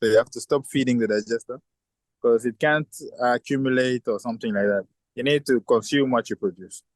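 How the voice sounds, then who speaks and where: A man's voice: mid-range voice, brisk, no echo, rough recording. A man who is a chemical engineer and founder, answering interview questions thoughtfully with many restarts and fillers. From southern Africa.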